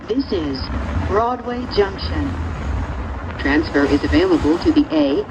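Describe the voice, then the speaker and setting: Female transit announcer, formal and deliberate, lively intonation, heard through a train PA in a dull recording. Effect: train public-address speaker. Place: inside a subway train, over speakers. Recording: dull, noisy recording inside moving train. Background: rumbling train noise.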